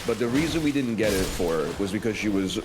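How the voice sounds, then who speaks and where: A man in his thirties with a deep voice, telling stories in a calm, flat, deadpan way during a casual chat. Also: quick pace, background noise. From Canada.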